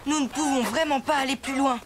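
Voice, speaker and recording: A woman's voice: high voice, steady tone, a little fast, noisy background, close to an everyday voice. A woman voicing a kind, sensible young boy in a children's cartoon, speaking in an earnest, concerned manner. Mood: helpful. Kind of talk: animated children's cartoon acting. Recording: music behind the voice.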